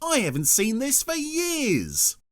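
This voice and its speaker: A man voicing rude young male crew members in a much higher voice. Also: huge pitch swings, slow, a bit bright, exaggerated voice. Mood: snappy.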